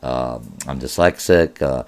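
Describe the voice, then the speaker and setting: A man's voice: a bass voice, a little slow, a little mellow. A middle-aged man, a college business instructor whose first language is Polish, giving an informal online course orientation with many filler sounds. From born in Germany.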